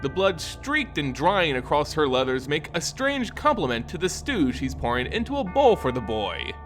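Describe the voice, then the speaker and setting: A man narrating a scripted fantasy story in a dramatic, solemn and measured storytelling manner. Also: fairly high pitch, sing-song, a bit bright, faint music behind. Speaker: lore narrator.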